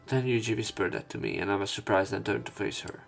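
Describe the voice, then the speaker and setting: A man's voice: lowish voice, fast, vocal fry, soft background music. A man reading visual novel narration aloud as a let's play narrator.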